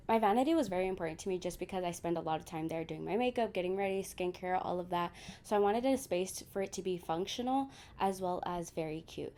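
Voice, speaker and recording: A woman's voice: lowish voice, dark tone. A young woman chatting casually to her viewers as she gives a room tour, talking to them directly. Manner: chatty, addresses viewers as you guys. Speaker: lifestyle vlogger.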